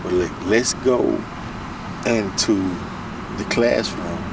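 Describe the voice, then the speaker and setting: A man's voice: fairly low pitch, slow pace. A man from the United States hosting a casual interview, agreeable and chiming in with short affirmations. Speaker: radio show host, interviewer. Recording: distant mic with loud street and car noise.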